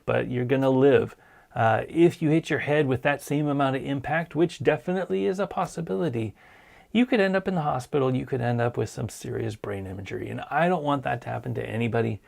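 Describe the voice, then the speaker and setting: Man, gravelly, hoarse from a cold, earnest host, plain-spoken. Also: medium pitch. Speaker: podcast host. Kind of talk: casual live-stream talk.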